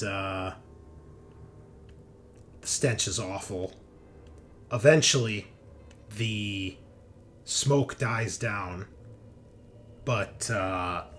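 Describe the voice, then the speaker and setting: A low-voiced man acts as game master for a tabletop roleplaying session. He improvises descriptions conversationally, in halting phrases. Some noise sits behind his voice. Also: fairly bright tone. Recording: clear background noise.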